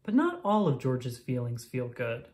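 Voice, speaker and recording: A woman with a low-pitched voice narrates a children's picture book. Her intonation swings widely from phrase to phrase, and she delivers each line deliberately and expressively for young listeners. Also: very animated, a little mellow. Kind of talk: scripted read-aloud narration of a picture book.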